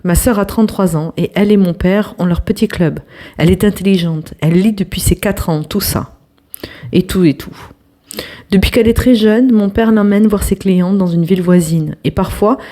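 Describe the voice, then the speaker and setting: A young woman reading an online post aloud as a storytelling host, with lively delivery, some hushed asides, and chatty comments to her viewers. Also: medium pitch.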